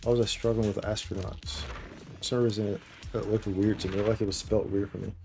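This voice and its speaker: Bright-voiced man, casual game streamer. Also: on the low side, level tone, fast, vocal fry, faint music behind. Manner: informal, slangy bro and dude talk. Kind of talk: casual live gameplay commentary.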